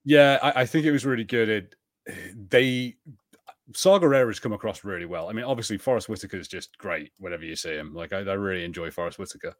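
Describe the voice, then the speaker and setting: A man with a low voice chats casually as a podcast co-host. He rambles, full of fillers and restarts. The recording is dry and close. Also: expressive, fast, dry recording. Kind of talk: casual chat.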